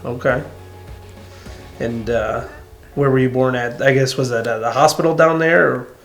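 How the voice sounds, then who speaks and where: Grandson as interviewer, average-pitched man, filler-heavy short prompts, faint music behind. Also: fairly even intonation, moderate pace. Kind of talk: casual family interview.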